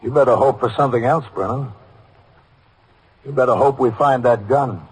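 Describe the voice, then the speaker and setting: An American actor playing a stern, skeptical middle-aged police captain in a 1950s radio drama, speaking in a deliberate, matter-of-fact way. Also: male voice, mid-range voice, slightly rough. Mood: grim. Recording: noisy old radio drama recording.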